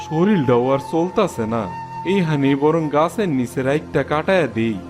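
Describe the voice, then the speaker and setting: A man's voice: a little high, animated, normal speed, close to an everyday voice. A man playing a weary old villager, music behind. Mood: weary, sorrowful. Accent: rural Bangladeshi. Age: older. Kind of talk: cartoon storytelling dub. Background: prominent music.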